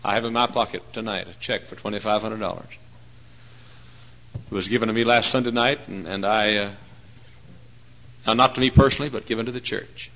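A man in his forties preaching a folksy, humorous sermon, swinging between hushed asides and loud emphatic points. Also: lowish voice, steady tone, fairly quick, pauses often. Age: 40s. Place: church.

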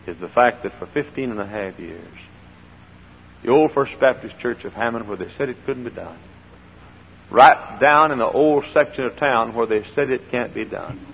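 An older man preaching a sermon from the pulpit with a froggy, gravelly voice, shifting between hollered exhortation and quiet storytelling and repeating phrases for emphasis. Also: mid-range voice. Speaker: pastor. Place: large church sanctuary. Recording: dull old recording in a reverberant church.

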